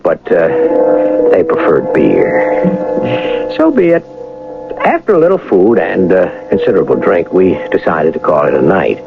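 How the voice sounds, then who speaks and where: A well-bred young master thief from an old radio play. His speech is polished and formal, lively in pitch, with a gravelly edge and a muffled broadcast sound. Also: exaggerated voice. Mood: wry recollection. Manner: polished, formal, measured, with hesitant pauses. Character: wealthy, educated young gentleman turned suave bank robber. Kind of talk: radio drama acting and first-person narration.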